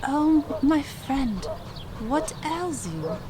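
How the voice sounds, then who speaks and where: A woman's voice: sits high, very animated, very slow, a crowd in the background, heavily acted. A woman playing a compassionate, resolute young medieval queen in an audio drama, earnest and formal in her theatrical delivery. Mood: tender, concerned.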